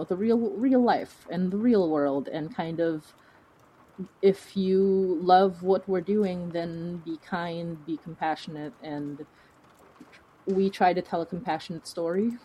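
A woman's voice: sits low, warm tone, low-quality recording. A woman speaking as a podcast guest, answering in an earnest, reflective, conversational way. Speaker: guest, fiction podcast creator.

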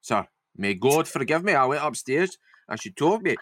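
Man as scared Scottish maidservant in a script read: rough, edgy, wandering accent, bright and very animated. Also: a little high, moderate pace, no echo, voice pushed up. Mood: agitated, remorseful. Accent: Scottish, drifting. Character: frightened young Scottish maidservant, agitated and rough-edged.

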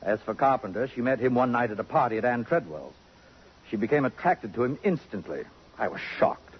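A man's voice: mid-range voice, expressive, brisk, lightly acted. Pompous, sardonic columnist, played by a man, swooping delivery. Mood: wistful, self-important. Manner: pompous, precise, sardonic, elaborate phrasing. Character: vain, acid-tongued middle-aged male columnist, haughty and cultured. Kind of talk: 1950s radio drama acting. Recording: muffled, noisy 1950s radio broadcast.

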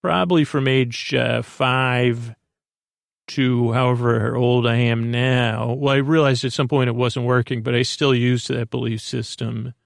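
A man hosting a bedtime podcast in a lulling, creaky voice, rambling haltingly through digressions and interrupting himself. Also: mid-range voice, steady tone, no echo, clean studio sound. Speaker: podcast host.

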